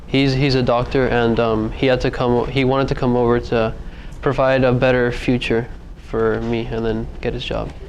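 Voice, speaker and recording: Halting teen boy from Santo Domingo. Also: mid-range voice, little pitch movement, a little hiss or hum, poor audio. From Dominican Republic. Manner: halting, repeats words. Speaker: student interviewee.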